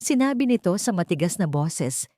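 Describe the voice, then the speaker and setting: A woman reading a scripted love story as narrator, in a measured, melodramatic storytelling manner. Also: lowish voice, sing-song, dark tone, dry recording, studio quality.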